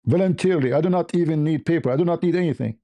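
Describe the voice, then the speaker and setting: A man's voice: a little high, very fast, mellow. A man with an Arabic accent giving a blunt, off-the-cuff opinion monologue as a livestream host, repeating phrases for emphasis.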